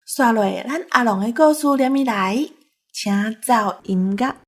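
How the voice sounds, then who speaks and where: A woman with a Taiwanese accent and a husky voice, hosting a show with banter. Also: on the high side, unhurried. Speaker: show host. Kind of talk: bantering radio show talk.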